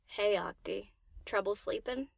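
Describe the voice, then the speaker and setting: Woman playing a laid-back DJ pony mare, medium pitch. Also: a little slow, vocal fry, no room sound, clean studio sound. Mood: drowsy, casual. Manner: casual, slangy, teasing. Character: laid-back, affectionate female DJ pony, playful and caring. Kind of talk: audiobook character acting.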